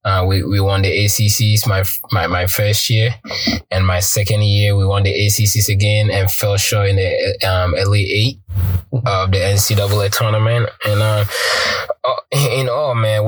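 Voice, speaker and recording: A man's voice: deep voice, no room sound, low-quality recording. A young man from Ghana, a pro soccer player, talking casually in an interview with many ums and restarts. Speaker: guest, professional soccer player.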